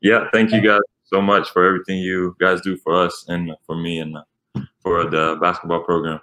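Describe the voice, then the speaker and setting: Very deep-voiced young Swiss man. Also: normal speed, rough recording. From Switzerland. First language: Italian. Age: young adult. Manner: relaxed, many fillers. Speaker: college basketball player. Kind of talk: interview answers.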